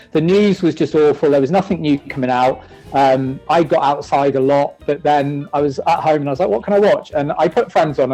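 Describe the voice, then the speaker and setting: A man's voice: on the high side, mellow, low-quality recording. A British man chatting casually as an interview guest, thinking aloud and qualifying what he says as he goes. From Manchester, UK. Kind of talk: casual chat.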